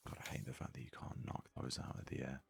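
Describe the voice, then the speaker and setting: A man with a deep, rough, gravelly voice gives soft-spoken, unhurried ASMR commentary as a gaming host. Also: monotone, a little hiss or hum. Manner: soft-spoken, low-key, unhurried musing. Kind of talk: ASMR let's play commentary.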